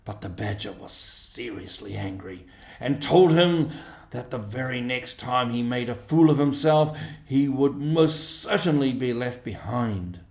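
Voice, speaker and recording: In a roomy, echoing space, a man reads a storybook aloud. His delivery is slow and measured, with expressive storytelling and a medium-pitched voice. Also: creaky. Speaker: audiobook reader. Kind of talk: scripted storybook narration.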